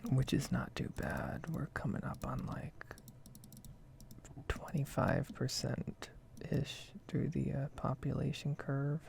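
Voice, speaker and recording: A woman softly whispering a casual, rambling ASMR commentary over a game. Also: extremely low pitch, steady tone, slow pace, gravelly, a little hiss or hum. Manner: soft-spoken, rambling, casual asides. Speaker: gaming video creator.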